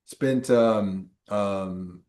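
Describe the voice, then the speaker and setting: A man's voice: lowish voice, drawn-out words, darkish tone. A male instructor chatting casually with students on a video call, rambling and full of ums and restarts. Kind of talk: casual chat with students in a live class.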